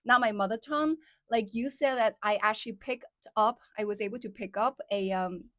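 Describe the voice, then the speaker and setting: Woman host, native Chinese speaker with a Midwest-leaning American accent, higher voice, casual livestream chatter. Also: moderate pace, fairly bright tone, dry recording, clean studio sound. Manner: chatty, many fillers like "like" and "somehow", laughs often. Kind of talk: casual livestream chat with viewers.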